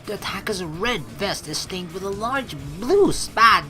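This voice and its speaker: A woman streamer reading a game's narration aloud, mixed with casual, expressive commentary. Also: mid-range voice, measured pace, faint music behind. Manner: expressive, animated asides. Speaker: let's play streamer.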